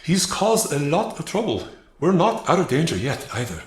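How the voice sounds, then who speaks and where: Earnest captive, echoing and very expressive. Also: male voice, fairly high pitch, moderate pace. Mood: regretful. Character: Magnus, earnest remorseful captive man. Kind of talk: dramatic video game voice acting. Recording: roomy, echoing space.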